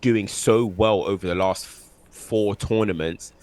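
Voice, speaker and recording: A man's voice: lowish voice, a little slow, a little mellow. A man co-hosting a casual football chat, emphatic and fond of mocking repeated phrases. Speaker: co-host.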